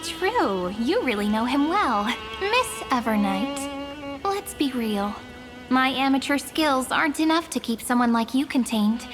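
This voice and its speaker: Tiny friendly sprite girl for a video game, really high voice, playful n teasing, music going behind. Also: animated, unhurried. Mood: teasing, frank. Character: small, sprightly, friendly female memory sprite, playful and polite. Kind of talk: video game character acting.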